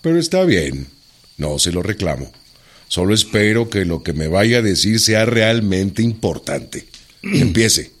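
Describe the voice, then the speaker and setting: A man playing a proud, wary Mexican bandit leader in a radio drama, with a gruff, commanding, sardonic way of talking. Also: lowish voice, sing-song, unhurried, exaggerated voice. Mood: gruff, challenging.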